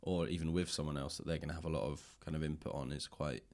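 A man's voice: rumbling low, fairly even intonation, quick pace, darkish tone. A man hosting a conversational interview, speaking in a casual, reflective and discursive way. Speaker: podcast host, interviewer.

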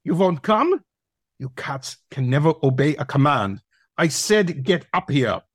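A man's voice: a little high, expressive, a little slow, breathy edge, dry recording, studio quality. A man playing a pompous, irritable dog who runs an acting class, with a German accent and a bossy, exasperated manner, in an audio play. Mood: exasperated, angry. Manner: barking commands, pompous, exasperated.

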